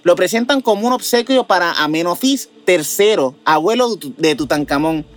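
A man's voice: high-pitched, lively intonation, moderate pace. A man with a Mexican accent hosting a mystery news show, mixing scripted narration with slangy, informal asides. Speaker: news host.

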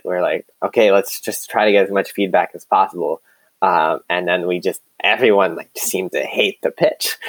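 A man with a very low-pitched voice talks as a startup co-founder in an interview. His delivery is casual and conversational, like someone recounting stories informally. The sound is dry, with no room echo.

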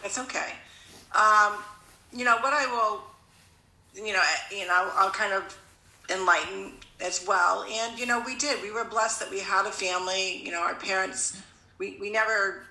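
A woman's voice: mid-range voice, fairly even intonation, normal speed, sharp tone, echoey room, poor audio. A woman in her fifties from Connecticut, talking earnestly in a casual conversation, rambling and often restarting her sentences. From Connecticut, USA.

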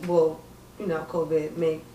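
Twenties woman, chatty and expressive, roomy sound, away from mic. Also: low-pitched, unhurried, recorded from a distance, a little hiss or hum. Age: 20s. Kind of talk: casual group chat.

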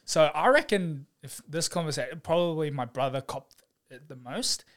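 A young man, a physiotherapist guest chatting casually on a podcast, relaxed and quick to laugh, with plenty of fillers. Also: on the high side. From New Zealand. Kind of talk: casual chat.

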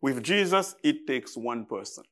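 A man from Haiti with a Haitian accent delivers a sermon to a congregation as a guest preacher. His voice sits at a middle pitch, and he takes his time, setting out short phrases deliberately with halting pauses. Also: animated, a bit bright.

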